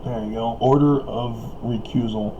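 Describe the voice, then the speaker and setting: A man's voice: mid-range voice, steady tone, a little slow, lo-fi sound, noisy background. A man talking in a rambling solo monologue, chuckling and hesitating often while his voice wears out and he coughs. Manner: chuckles often between remarks; hesitant, many fillers and restarts. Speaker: online video commentator.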